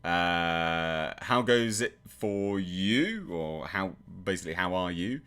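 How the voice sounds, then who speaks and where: English guy streaming from home, lowish bright voice, bounces all over, lots of "uh" and restarts. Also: lively intonation, slow pace, smooth. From England. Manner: chatty, self-deprecating, lots of restarts and fillers. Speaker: channel host reading live chat. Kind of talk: casual livestream chat.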